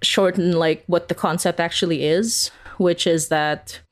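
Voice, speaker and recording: A woman's voice: fairly low pitch, fairly even intonation, measured pace. A woman guest chatting casually in an interview, giggly and rambling, with very informal phrasing. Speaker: podcast creator, interview guest.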